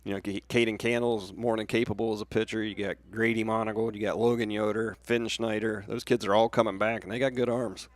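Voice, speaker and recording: A man's voice: fairly low pitch, little pitch movement, brisk, hardly any pauses. A man with an American accent giving conversational baseball analysis as a colour commentator.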